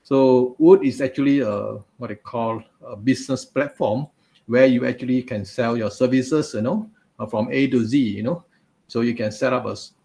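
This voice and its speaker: A man's voice: medium pitch, vocal fry, warm tone. A man hosting a live webinar interview over a video call, chatty, with many fillers and asides. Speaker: host. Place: home office, video call. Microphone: video call webcam.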